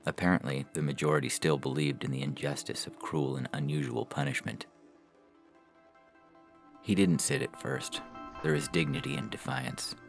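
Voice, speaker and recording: Man, very low gravelly voice, scripted fiction narration, music underneath. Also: moderate pace. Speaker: story narrator.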